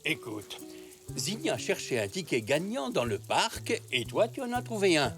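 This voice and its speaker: A man voicing a kindly, wise old grandfather zebra in a children's cartoon, gentle, patient and encouraging. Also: on the high side, very animated, a little slow, music in the background. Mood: gentle, guiding. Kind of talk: French children's cartoon dubbing.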